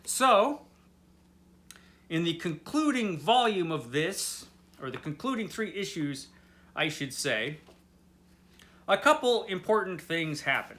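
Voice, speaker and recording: Male vlog host, slightly high, bright voice, drunk and rambling through a casual review with dry humor. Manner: tipsy, rambling; wry, tongue-in-cheek. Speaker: host of a comic book read-along.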